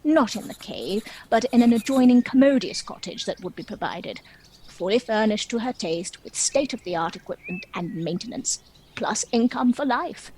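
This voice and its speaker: A woman's voice: fairly high pitch, big pitch swings, darkish tone, a little hiss or hum, low-quality recording. A woman playing a chatty, self-pitying dragon who tells its own story in a wry, theatrical comic stage monologue. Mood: dry, wry. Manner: wry, theatrical, measured storytelling with arch asides.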